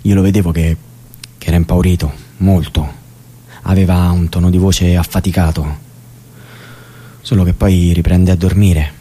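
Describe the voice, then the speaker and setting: An actor playing a male prisoner recalling a night with his cellmate, in a plain, halting way, in a radio drama. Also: sits low, little pitch movement, dark tone, slightly rough. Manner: plain, halting spoken recollection. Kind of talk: theatrical radio drama monologue. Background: music.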